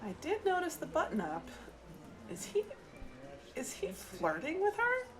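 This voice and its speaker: Gently curious woman played by an actress, lively intonation. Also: high voice, a little slow, exaggerated voice. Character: friendly, observant, gently curious woman attending event undercover. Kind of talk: audio drama dialogue.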